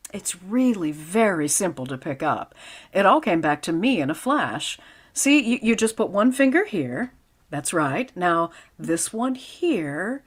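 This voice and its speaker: A woman playing a wisecracking high school English teacher in vintage radio comedy style, with dry, sardonic comebacks. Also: very animated, unhurried, husky, heavily acted. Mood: nervous, coaxing.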